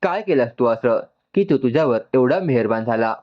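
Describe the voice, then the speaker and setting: A man's voice: mid-range voice, expressive, normal speed, muffled recording, natural voice. A man voicing an angry, suspicious young woman who interrogates her sister in an accusing manner, in a story narration.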